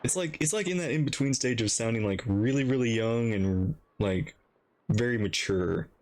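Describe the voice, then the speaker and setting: A woman's voice: very deep voice, normal speed, background noise. A woman streamer giving chatty, playful, casual live reaction commentary while playing a game.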